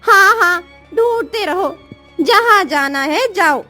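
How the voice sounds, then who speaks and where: A woman's voice: very high voice, expressive, takes it slowly, sharp tone, soft background music, exaggerated voice. A woman voicing a scornful, dismissive village woman who mocks and scolds in a cartoon moral story. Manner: mocking, scolding.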